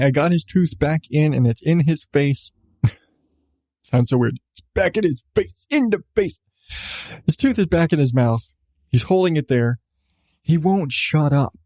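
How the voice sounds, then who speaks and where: A man's voice: on the high side, warm tone, no room sound. A man in his thirties, a podcast host telling a personal story casually, with swearing and emphatic repetition. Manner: profane, emphatic, repeats phrases for effect.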